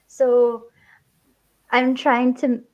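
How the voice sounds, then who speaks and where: Scottish female streamer, high and unhurried, giggly, tripping on words, a little slurred from a sore cheek. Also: monotone, highs cut off. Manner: giggly, stumbling over words. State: swollen cheek, speech a bit slurred. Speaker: streamer host. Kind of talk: casual stream chat.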